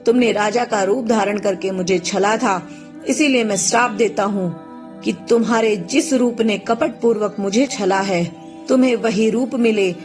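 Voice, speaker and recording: Woman plays impassioned proud sage of myth, formal declamation, medium pitch, faint music under. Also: normal speed, darkish tone, natural voice. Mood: angry, accusing. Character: wrathful male divine sage, proud, later remorseful.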